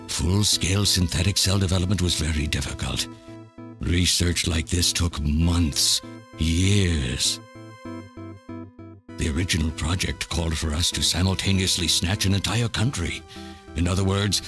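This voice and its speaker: An extremely low-voiced old mad scientist, bitter and self-justifying. He speaks in deliberate, theatrical monologue, like a lonely video game villain, with music playing underneath. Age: older.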